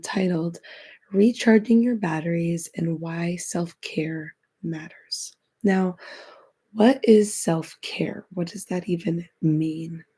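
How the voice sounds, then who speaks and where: A woman recovering from being sick, raspy as she hosts a chatty, encouraging self-help podcast. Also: on the low side, warm tone. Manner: chatty, encouraging, repeats phrases. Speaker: podcast host.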